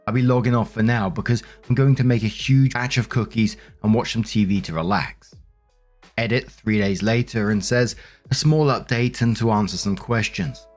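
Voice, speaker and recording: A man with a fairly low voice narrates online stories. He reads in a measured, conversational way and adds his own commentary as a host would. Soft music plays behind him. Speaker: host and narrator of Reddit story compilations.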